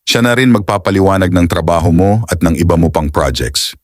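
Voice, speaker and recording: A man's voice: sits low, animated, fairly quick, no room sound, studio quality. A man playing a serious, direct TV director in an audio drama, formal and mixing English into his speech. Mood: businesslike. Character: serious, businesslike male TV director, direct and commanding.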